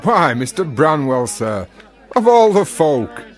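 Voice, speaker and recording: A man playing a wry, deferential working-class village sexton in a radio drama, folksy and gently teasing. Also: a little high, big pitch swings, slow pace, music in the background. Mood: delighted surprise.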